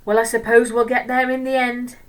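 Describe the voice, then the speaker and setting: A woman's voice: on the high side, flat delivery, brisk, recorded from a distance, pitched above the performer's own voice, lightly acted. A woman voicing a mild, kindly, fretful male schoolteacher in a children's storybook, with a prim, worried way of talking. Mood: anxious.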